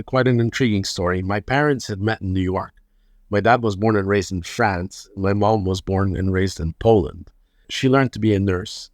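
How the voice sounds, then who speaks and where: A man in his fifties from Lebanon, a guest telling his story in an interview, unhurried and often repeating words as he searches for the next phrase. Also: fairly low pitch, dry recording, studio quality. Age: 50s. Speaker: guest, hospital chairman.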